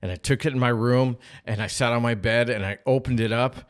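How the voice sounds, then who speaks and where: A man's voice: medium pitch, a little fast. A middle-aged man co-hosting a podcast, telling a casual anecdote and acting out bits of dialogue as he goes. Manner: animated retelling with acted-out dialogue. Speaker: podcast co-host and storyteller.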